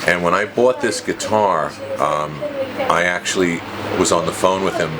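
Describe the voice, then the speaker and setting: A man's voice: sits low, normal speed, over a noisy crowd. A man in his fifties explaining things in a casual, storytelling way, like a shop dealer talking to a visitor. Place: small cluttered guitar shop, indoors. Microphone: camera mic a short distance away. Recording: noisy handheld camera recording.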